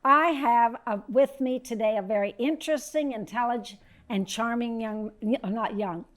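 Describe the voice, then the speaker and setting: A woman's voice: on the high side, normal speed, warm tone. An older woman hosting a live interview in a casual, chatty style. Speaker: talk show host.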